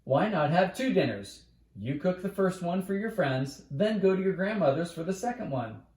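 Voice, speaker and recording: A man's voice: high-pitched, expressive, normal speed, a little mellow, pitched above the performer's own voice. A man voicing an earnest, sensible and slightly bossy young boy who explains and directs in a read-aloud storybook, in a roomy recording. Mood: directing.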